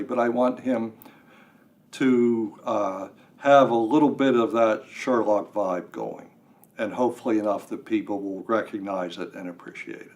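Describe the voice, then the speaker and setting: An older man chatting as an interview guest, talking in a halting way with self-deprecating humor. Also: medium pitch. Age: 60s or older. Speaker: guest writer. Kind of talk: casual interview. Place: radio studio.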